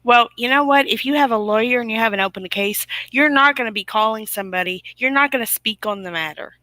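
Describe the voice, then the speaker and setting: A woman's voice: a little high, fast talker, pushed and bright, dry recording, low-quality recording. A woman hosting an online broadcast in casual, opinionated chat, emphatic and fond of rhetorical questions.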